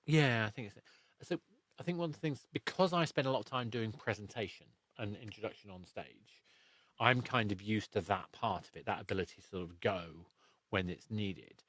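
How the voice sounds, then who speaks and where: A man's voice: on the low side, normal speed, fairly bright tone, dry recording. A man hosting a podcast, chatting casually in a rambling, reflective way. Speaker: podcast host and interviewer. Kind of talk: casual interview chat.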